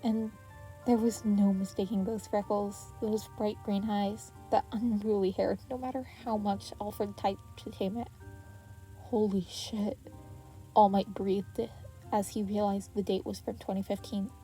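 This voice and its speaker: A woman's voice: medium pitch, little pitch movement, moderate pace, mellow, soft background music, rough recording. A woman narrating a story as an amateur audiobook reader.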